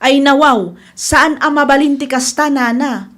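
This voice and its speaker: A woman's voice: sits high, a little slow, fairly bright tone. A woman with an Ilocano accent playing an insistent, aggrieved young boarder in a radio drama.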